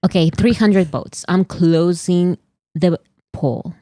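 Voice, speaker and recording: A woman hosts a casual chat in a slightly low voice, teasing and laughing as she presses on. The sound is dry and close. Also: slow pace, dark tone, dry recording. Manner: teasing, laughs while pushing ahead. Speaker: host.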